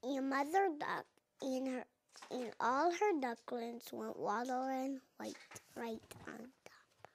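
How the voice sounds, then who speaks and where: Slow, high-pitched little girl reading aloud. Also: vocal fry, a little hiss or hum. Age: young child, four and a half. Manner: halting, sounding out words, repeating. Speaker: child reader. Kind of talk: reading a picture book aloud.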